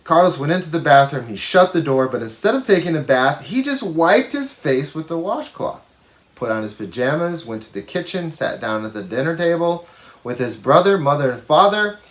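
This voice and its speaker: A man reads a picture book aloud to young children in an expressive way, pausing to explain pictures and put questions to the listeners. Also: fairly high pitch. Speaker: educator reading a picture book to schoolchildren.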